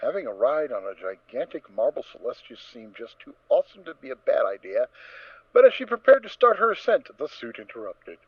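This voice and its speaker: A man narrating a story as a dramatic reading, in an expressive, theatrical manner. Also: mid-range voice, brisk, no echo. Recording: slightly dull, dry close recording.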